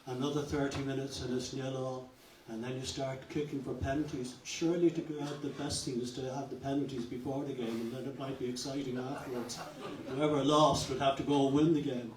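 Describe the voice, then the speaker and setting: An older man speaks off the cuff at a community event, rambling through jokes and heaping on praise. His voice sits at an ordinary pitch and carries through a roomy hall, picked up from a distance with steady noise underneath. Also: a little fast, hardly any pauses. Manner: rambling, joking, effusive. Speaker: guest speaker. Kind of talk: informal speech at a cultural evening. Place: function hall.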